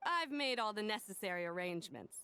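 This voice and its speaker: A woman's voice: medium pitch, sing-song, brisk, pushed and bright, clear, resonant tone. A woman acting a conscientious, nervous young event helper in a video game.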